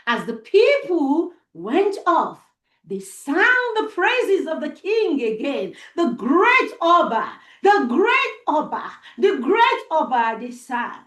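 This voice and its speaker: Nigerian lady doing storytime for kids, super slow and really animated, echoey room. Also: high-pitched. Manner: deliberate, expressive, with dramatic pauses and repetition. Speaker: children's storytelling host. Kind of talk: live interactive storytelling for children. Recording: roomy, echoey sound.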